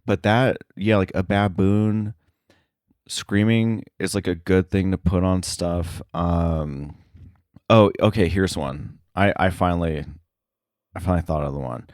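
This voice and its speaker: A man with a low voice talks as a podcast guest in a casual interview. He is hesitant, thinking aloud as he goes, and the sound is close and dry. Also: steady tone, measured pace, a little mellow, dry recording.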